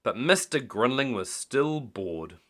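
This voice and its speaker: A man's voice: medium pitch, big pitch swings, slow pace, fairly bright tone. A man reading a children's bedtime story aloud expressively, as a storyteller.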